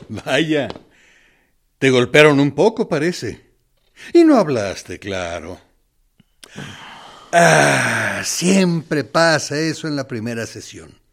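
A menacing army captain in a radio drama. He speaks slowly, swinging widely in pitch as he feigns friendliness and talks down to his prisoner. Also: full character voice. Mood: mock reassurance. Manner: condescending, mock-friendly, deliberate with pointed pauses. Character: menacing male military captain interrogating a prisoner, falsely sympathetic. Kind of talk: dramatic radio play monologue.

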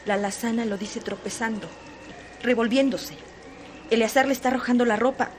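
A woman narrating a literary radio drama with dramatic, deliberate phrasing. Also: fairly high pitch, steady tone, a little hiss or hum, lightly acted.

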